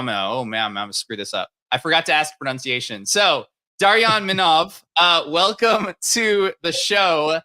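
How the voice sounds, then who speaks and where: A man's voice: on the high side, pushed and bright, clean tone. A man hosting a live video stream, upbeat and chatty with plenty of fillers. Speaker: livestream host. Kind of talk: casual livestream hosting.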